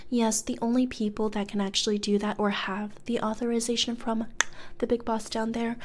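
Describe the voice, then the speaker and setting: A woman playing a businesslike, politely sinister female demon recruiter in a soft, breathy ASMR whisper, close to the microphone. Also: a little high, flat delivery, lightly acted. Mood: businesslike, explaining. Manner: hesitant, measured corporate politeness, murmured. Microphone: close studio condenser microphone.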